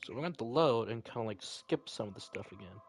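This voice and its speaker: A man's voice: fairly low pitch, animated, fast, slight background noise. A man reading visual novel text aloud and reacting casually as he plays. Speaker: let's player. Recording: game music loud behind the voice. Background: visual novel music.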